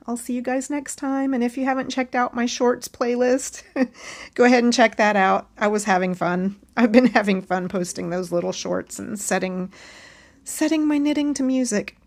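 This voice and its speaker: A woman hosting a casual knitting tutorial, chatting along as she knits and a bit hoarse from losing her voice. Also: fairly high pitch. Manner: chatty and friendly, talks while knitting.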